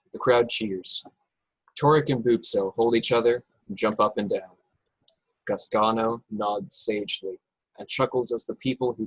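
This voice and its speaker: A man narrates stage directions for an action-packed script in a husky, fairly low voice. The sound is close and dry, as he reads the scenes out at a remote table read. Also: dry recording, low-quality recording. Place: indoors, remote video call table read.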